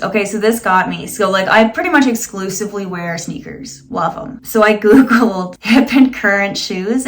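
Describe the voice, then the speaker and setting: A woman in her late thirties, a vlogger who reads search results aloud and pokes fun at them with dry, sarcastic asides. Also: a little high. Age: 30s. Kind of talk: casual vlog reading and commenting.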